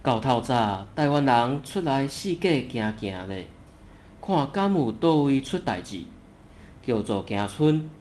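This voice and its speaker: A man's voice: medium pitch, expressive, takes it slowly, a little mellow, a little hiss or hum. A man playing a watchful deity who narrates a folk legend in a scripted storytelling style. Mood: storytelling, assured.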